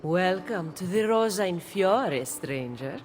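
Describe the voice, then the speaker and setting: An actress playing a worldly, anxious courtesan in a video game, guarded and plain-spoken, with an Italian accent. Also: female voice, lowish voice, lively intonation, slow pace, darkish tone, slight background noise. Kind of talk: video game cutscene acting.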